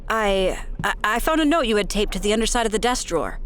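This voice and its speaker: A woman's voice: medium pitch, lively intonation, quick pace, a little hiss or hum, lightly acted. A woman acting as an earnest, determined young amateur investigator in a naturalistic audio drama, at times hesitant. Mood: earnest, pressing.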